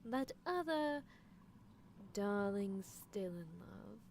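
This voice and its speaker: A woman's voice: mid-range voice, expressive, drawn-out words, creaky, slight background noise, heavily acted. A woman playing a possessive, coolly unethical female mad scientist in a live ASMR roleplay, coaxing the listener with theatrical delivery. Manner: languid, theatrical, coaxing, with soft chuckles.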